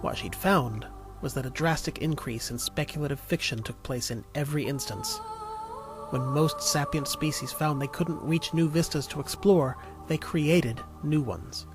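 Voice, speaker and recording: A man's voice: fairly high pitch, moderate pace. A man reading scripted narration for an audio drama in a storytelling style. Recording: music under the voice. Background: music.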